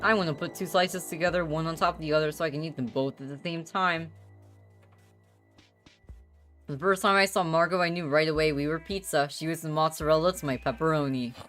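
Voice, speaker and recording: A guilt-ridden, exasperated divorced father voiced by a woman narrates his confessions in a visual novel. The voice is low and dramatic, with faint music underneath. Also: fast talker, hardly any pauses, fairly bright tone. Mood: earnest, absurd.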